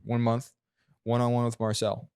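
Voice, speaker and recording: Animated young male guest, dry sound. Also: mid-range voice, moderate pace, clear, resonant tone, dry recording. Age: young adult. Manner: animated storyteller, acts out his own quotes. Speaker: guest, sales closer. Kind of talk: casual interview chat.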